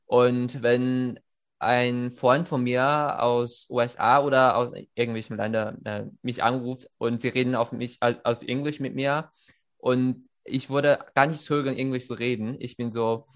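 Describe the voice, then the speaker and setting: Man, chinese native speaker, casual conversation, halting delivery, mid-pitched voice. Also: little pitch movement. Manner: hesitant, many fillers and restarts. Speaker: conversation partner.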